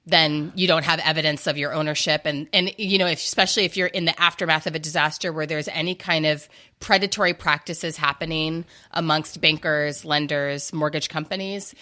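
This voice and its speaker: A woman's voice: deep voice, steady tone, brisk, sharp tone. A woman giving practical advice in conversation as a podcast co-host, methodical and fairly formal in her wording.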